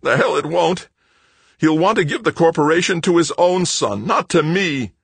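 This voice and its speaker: A man's voice: lowish voice, very animated, moderate pace, husky, no room sound, pitched above the performer's own voice, exaggerated voice. A man voicing a volatile, bitter adult son in an audiobook, with a snarling, ranting delivery. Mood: enraged, anguished. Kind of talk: audiobook character acting.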